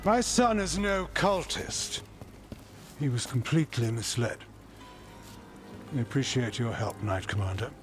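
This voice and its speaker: A man playing a pompous magister and father in a video game, haughty and deliberate. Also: medium pitch, animated, close to an everyday voice. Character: haughty, smooth-talking male magister father. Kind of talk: video game cutscene acting. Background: music.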